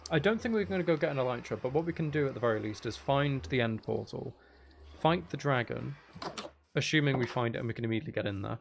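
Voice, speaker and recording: Guy streaming a game, rambly and thinking out loud, lots of vocal fry, pitch all over the place. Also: expressive, quick pace, slight background noise. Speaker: video game livestreamer. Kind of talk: casual live gameplay commentary, chatting with viewers.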